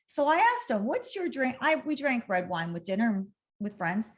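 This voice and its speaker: Woman in her sixties, guest, earnest chatty storytelling, slightly high voice. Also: sing-song, normal speed. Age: 60s. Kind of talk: casual interview chat.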